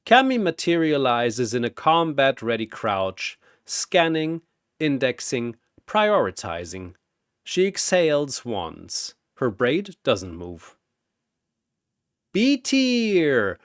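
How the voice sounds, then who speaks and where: A man reading scripted fantasy battle narration in a theatrical, deliberate manner, like an arena announcer. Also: mid-range voice, very animated, clean tone, no echo, studio quality.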